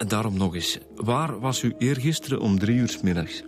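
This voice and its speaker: With clear music behind him, a Flemish male police detective in a radio drama. His voice is fairly low. He is world-weary but polite, stumbling and repeating himself as he puts his questions. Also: expressive. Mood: polite, probing. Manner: halting, hesitant, repeats words.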